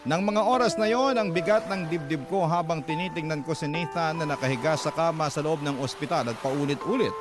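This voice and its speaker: A man's voice: on the high side, a little fast, few pauses. A man with a Filipino accent narrating a dramatic first-person story as a radio drama narrator. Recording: loud music bed under voice. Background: loud music.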